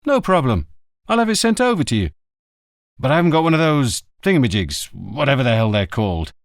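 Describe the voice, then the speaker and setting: A man's voice: medium pitch, sing-song, a little fast, no echo, clean studio sound. A man reading an audiobook, voicing a brash male journalist who talks in an offhand way and swears casually. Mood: breezy. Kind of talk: audiobook character voice.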